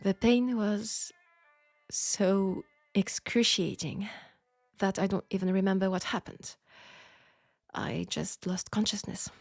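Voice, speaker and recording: A woman with a French accent playing a proud, wry young Parisian seamstress in a scripted audio roleplay, speaking in a measured, pointed way. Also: mid-range voice, steady tone, gravelly, dry recording, soft background music. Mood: somber. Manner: measured, pointed, sardonic, with sighs.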